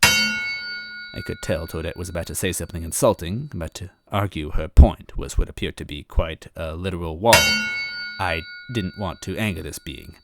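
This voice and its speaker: A man's voice: low-pitched, moderate pace, heavy background noise. A man reading a story aloud as a dramatic first-person narrator. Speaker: story reader.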